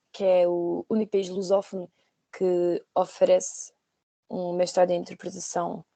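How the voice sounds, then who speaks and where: Woman, 20s, podcast guest. Soft-edged mellow tone, close dry sound, hesitant conversational delivery. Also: medium pitch, no echo. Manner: hesitant, searching for words with repetitions. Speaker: podcast guest, former trainee. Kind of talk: conversational interview answers.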